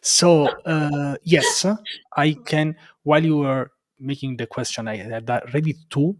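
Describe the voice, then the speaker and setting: A man from Italy with a strong Roman Italian accent, a non-native English speaker, talking casually as an interview guest in a halting, self-deprecating way and repeating himself. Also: fairly high pitch, fairly even intonation, dry recording. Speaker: interview guest, developer advocate and content creator.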